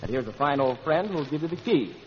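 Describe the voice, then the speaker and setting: A man with a fairly high voice announces in old-time radio style. His voice rings with long hall reverb on a dull, aged recording. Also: lively intonation, brisk, a little hiss or hum. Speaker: show announcer.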